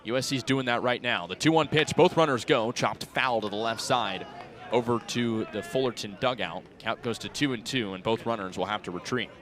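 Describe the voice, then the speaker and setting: A man in his twenties calling baseball play-by-play for radio in crisp, clipped phrases that lift on the action. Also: mid-range voice, moderate pace, a bit bright. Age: 20s. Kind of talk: live radio sports commentary. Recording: outdoor ballpark crowd behind voice. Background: ballpark crowd.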